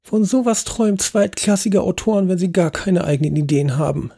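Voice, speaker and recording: Man doing a shrill haughty old ghost lady. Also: a little fast, vocal fry, mellow, slightly rough, full character voice. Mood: scornful. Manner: high, prim and indignant.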